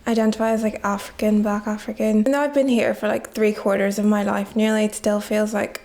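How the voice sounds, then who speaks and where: 19 yr old woman from nigeria, says like constantly, gravelly low-key voice, kinda flat. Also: a little fast. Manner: heavy use of "like" as filler, reflective. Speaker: guest. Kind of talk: casual interview.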